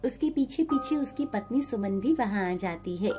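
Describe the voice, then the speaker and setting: A woman's voice: mid-range voice, lively intonation, normal speed, dark tone. A woman reading a scripted moral story as its narrator.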